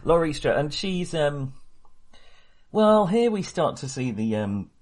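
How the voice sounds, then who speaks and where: A man's voice: a little high, very animated, takes it slowly, a little mellow. A man co-hosting a film podcast in casual discussion, talking hesitantly, often interrupting himself and rambling.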